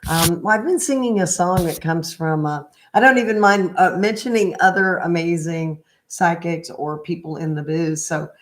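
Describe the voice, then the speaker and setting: A middle-aged woman in a gentle read-aloud style with casual, chatty asides and a halting, unhurried manner. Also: on the low side. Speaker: spiritual healer reading a picture book aloud.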